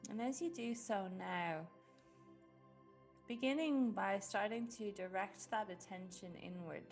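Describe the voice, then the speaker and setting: A woman leading a guided meditation in a gentle, unhurried way with long pauses. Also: medium pitch, creaky. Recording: music behind the voice. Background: background music.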